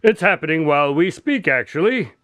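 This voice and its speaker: A male actor plays an excitable detective's sidekick in a radio drama. His fairly high voice swings widely as he reacts with ready indignation and exasperation. The recording is dry and close. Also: brisk, no echo. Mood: doubtful, insistent. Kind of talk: modern radio drama dialogue.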